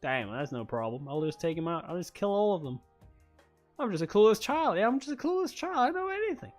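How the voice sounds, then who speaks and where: Man plays cocky young martial artist from a comic, greedy and boastful, high voice, big swoops in pitch. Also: big pitch swings, fast talker, faint music behind. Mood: smug, mocking. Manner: boastful, demanding, bursts into shouting.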